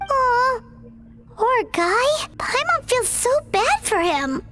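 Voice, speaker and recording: A woman voicing a small, childlike fairy companion in a video game, excitable and sympathetic, with a squeaky voice. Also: slow, exaggerated voice. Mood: sad, sympathetic. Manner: speaks of herself in the third person. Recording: loud game music behind.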